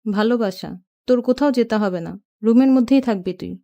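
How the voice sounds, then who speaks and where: Audio drama mother: actress as irritated, forbidding middle-aged woman, fairly high but mellow-toned. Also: a little fast, highs cut off, lightly acted. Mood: angry, stern. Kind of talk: audio story character acting.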